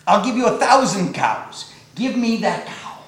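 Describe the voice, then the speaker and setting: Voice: mid-range voice, very animated, a little slow, a bit bright. A storyteller voicing a proud male emperor who makes insistent, commanding demands, in a very echoey room. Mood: demanding.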